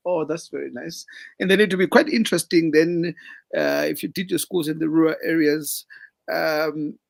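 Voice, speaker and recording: A man's voice: a little high, moderate pace, creaky. A man with a Zimbabwean accent hosting an online interview show, formal and full of fillers and restarts. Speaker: host.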